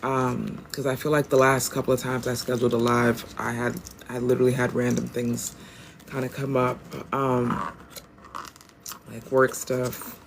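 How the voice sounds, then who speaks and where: A woman with a raspy, deeper-than-usual voice, chatting loosely through an oracle card reading on a phone livestream. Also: little pitch movement, normal speed, slight background noise. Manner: loose, rambling, with many ums and asides. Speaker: tarot and oracle reader.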